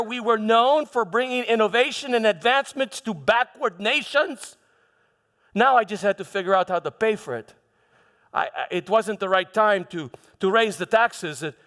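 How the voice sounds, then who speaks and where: A man playing a proud, vengeful Roman governor in a theatrical monologue, speaking emphatically with halting repetitions and dramatic pauses. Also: very high voice, a bit bright, smooth. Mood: smug, excited. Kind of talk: dramatic first-person monologue in church. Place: church hall, live performance. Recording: echoey hall with background music.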